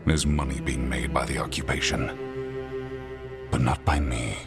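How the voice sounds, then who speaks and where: An actor playing a proud, menacing, possessive warlord conqueror in a video game, speaking in a grandiose, theatrical way with a deep gravelly voice. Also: male voice, little pitch movement, moderate pace. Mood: proud, adoring. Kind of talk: dramatic video game acting. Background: prominent background music.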